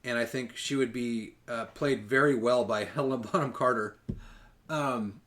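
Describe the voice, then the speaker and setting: A man's voice: mid-range voice, normal speed, fairly bright tone. Casual man chatting on a podcast. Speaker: podcast co-host.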